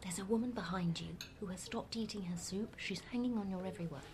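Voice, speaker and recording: An actress playing a sceptical, quietly sad woman friend in a naturalistic radio drama, dry and teasing. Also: fairly high pitch, animated, normal speed, natural voice. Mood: hushed, teasing. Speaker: actor.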